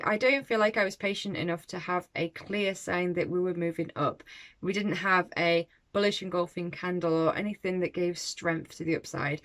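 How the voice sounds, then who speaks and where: A woman's voice: medium pitch, a bit bright. Brief: woman, friendly trading vlogger, talking her day's trades through to camera, reflective and chatty. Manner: chatty and reflective, with small laughs. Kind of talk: casual trade recap to camera.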